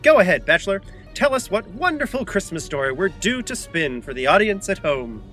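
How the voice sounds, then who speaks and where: A bossy, easily indignant male adventurer, acted by a man in a comedy audio drama. The voice is fairly high and sweeps up and down, emphatic and arch, with soft music in the mix. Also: very animated, brisk, exaggerated voice. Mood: placating, brisk. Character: theatrical, bossy, indignant adventurer, fond of festive fun.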